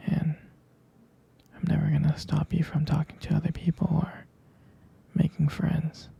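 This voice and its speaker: A man playing a tender, jealous, devoted boyfriend in an intimate ASMR roleplay, speaking haltingly in a breathy, husky whisper. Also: on the high side, completely flat delivery, mellow, lo-fi sound, heavily acted. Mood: possessive, tender. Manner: hesitant, intimate, halting delivery.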